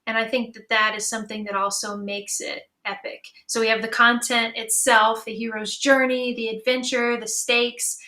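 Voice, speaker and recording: A woman's voice: a little high, level tone, moderate pace, hardly any pauses, pushed and bright. A woman speaking conversationally as the moderator of a creative panel discussion.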